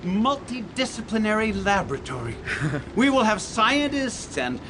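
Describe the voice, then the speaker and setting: Comic radio play: grandiose inventor father, blunt and condescending, very lively intonation, noisy background. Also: high voice, unhurried. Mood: dreamy, enthusiastic. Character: pompous, teasing, visionary inventor father. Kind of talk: comic radio theatre acting.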